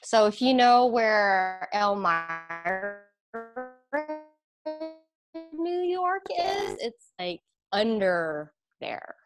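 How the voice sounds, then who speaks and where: A woman's voice: medium pitch, big pitch swings, takes it slowly, music in the background, rough recording. A woman guest, an aerial yoga instructor, chatting casually on a video call and filling her talk with "like" and laughter. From Philippines, raised in Pennsylvania. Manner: chatty, warm, many "like"s and laughs. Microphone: computer video call.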